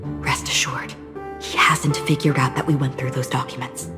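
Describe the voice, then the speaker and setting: Seeking watchful, mysterious wanderer, video game. Deep female register, restrained and serious. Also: flat delivery, moderate pace, music in the background. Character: reserved, watchful, enigmatic female wandering swordswoman.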